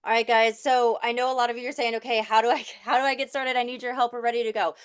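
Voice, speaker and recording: A woman hosts a live online training, talking straight to viewers in the chat. She is upbeat and direct, with a slightly high voice. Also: little pitch movement, fast talker, smooth. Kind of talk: sales pitch to a live chat audience.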